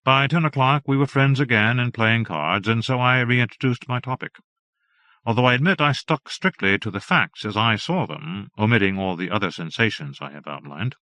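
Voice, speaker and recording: A man narrating a period horror story in a measured, formal manner. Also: medium pitch, expressive, pushed and bright, no echo. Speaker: audiobook narrator.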